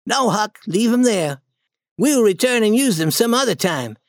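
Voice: mid-range voice, animated, normal speed, dry recording, studio quality, pitched above the performer's own voice, lightly acted. A storybook reader voicing a bold, eager young boy adventurer in a raised voice, confident and persuasive.

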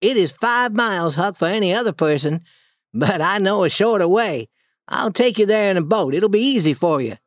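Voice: mid-range voice, expressive, normal speed, pitched above the performer's own voice, natural voice. A storybook reader voicing a bold, eager young boy adventurer in a raised voice, confident and persuasive.

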